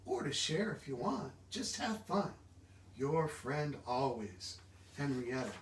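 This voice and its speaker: A man's voice: medium pitch, sing-song, slow, a little hiss or hum. A man reading a children's book aloud, sometimes stumbling over long words. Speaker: book reader. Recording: echoey room, distant microphone.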